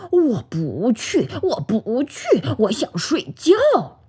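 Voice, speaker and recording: A woman's voice: high-pitched, sing-song, extremely slow, slightly rough, pitched above the performer's own voice, exaggerated voice. A woman voicing a sleepy, stubborn young bear cub in a children's storybook, mumbling and sulky. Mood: grumpy, annoyed.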